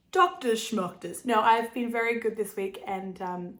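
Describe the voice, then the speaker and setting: A young woman with an Australian accent, hosting a knitting video podcast in a casual, bubbly chat, her voice a little croaky from laryngitis. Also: fairly high pitch. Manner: chatty, bubbly, many fillers and giggles.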